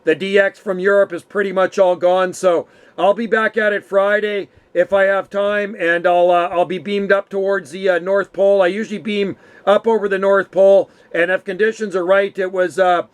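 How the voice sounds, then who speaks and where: A man's voice: high-pitched, fairly even intonation, normal speed, clean tone. A middle-aged man chatting casually as an amateur radio operator, using radio jargon and friendly forms of address. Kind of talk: casual radio contact chat. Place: home radio room.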